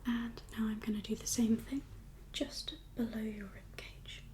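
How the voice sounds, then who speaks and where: A woman whispering as a doctor in an ASMR exam roleplay, giving gentle, reassuring instructions in a breathy voice. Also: fairly high pitch, level tone, a little slow, a little hiss or hum. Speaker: ASMR performer playing a doctor.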